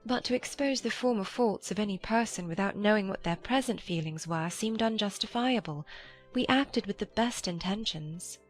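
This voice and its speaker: A woman playing a gentle, forgiving elder sister, measured and mild, in a dramatized Regency audiobook. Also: mid-range voice, faint music behind, lightly acted. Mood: troubled, gentle.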